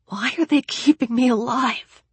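A woman's voice: medium pitch, a little slow, slightly rough, no room sound, voice pushed up. A woman voicing a frightened, grieving teenage boy with a thin, fragile voice in an audiobook story. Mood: fragile, whispery. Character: frightened, grief-stricken teenage boy, exhausted and despairing.

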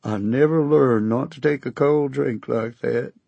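A man voicing a big, furious, weeping middle-aged farmer from Oklahoma who shouts in a twangy Oklahoma accent. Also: mid-range voice, unhurried, creaky, husky, no room sound, dull audio. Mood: aggrieved.

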